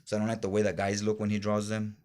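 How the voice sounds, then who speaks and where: A man's voice: lowish voice, monotone, fast, darkish tone. A man chatting casually in slangy, informal fan talk as he reviews things for a vlog. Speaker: comic book haul reviewer.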